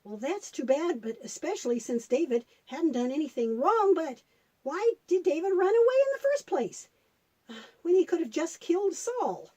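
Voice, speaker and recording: A curious little boy puppet, acted out by a woman, pipes up with eager questions in a children's puppet story. The voice is high and very lively in its swings, with a soft edge. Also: moderate pace. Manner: inquisitive, short questioning interjections.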